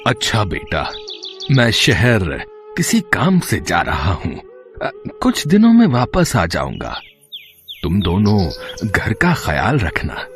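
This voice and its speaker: A man voicing a gentle elderly village father in a cartoon story, speaking slowly and reassuringly. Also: lowish voice, wildly swooping pitch, soft background music, exaggerated voice. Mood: fatherly.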